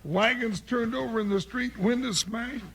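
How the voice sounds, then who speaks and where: An actor playing an excitable, blustery comic sidekick with a rural American accent and a gravelly voice, folksy and exclaiming, in a 1950s radio western. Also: male voice, extremely high pitch, moderate pace, a little hiss or hum. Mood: astonished.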